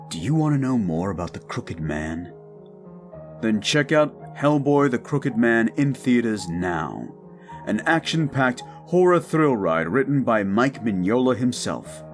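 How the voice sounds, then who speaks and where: A man who makes audio roleplays, addressing his listeners in a scripted promotional outro. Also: fairly low pitch.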